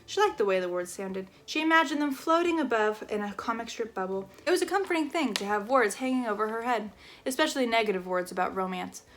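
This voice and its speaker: A woman reading a children's chapter book aloud in an expressive storytelling style. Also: a little high, fast, a little hiss or hum.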